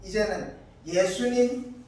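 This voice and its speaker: A male pastor with a high-pitched voice delivers a sermon in deliberate, short phrases. The sound is very echoey, as in a church, picked up at a distance from the microphone. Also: mellow. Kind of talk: scripture reading and sermon. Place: church hall. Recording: echoey church, distant mic, faint noise.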